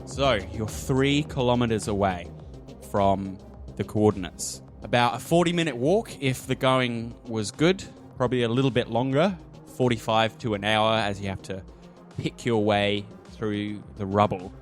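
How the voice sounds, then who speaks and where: A man's voice: lowish voice, normal speed, soft background music. A man narrating a tabletop roleplaying game as game master, with descriptive storytelling.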